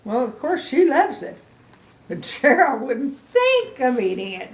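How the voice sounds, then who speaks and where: Animated older woman reading in echoey room. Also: high voice, a little slow, slight background noise. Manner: exaggerated emphasis, addresses children directly. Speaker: grandmother storybook reader. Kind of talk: animated read-aloud for children, with asides to listeners.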